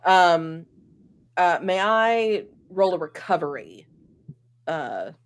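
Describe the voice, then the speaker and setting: Female tabletop player, slightly low register, polite and conversational. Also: lively intonation, slow pace. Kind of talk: casual chat.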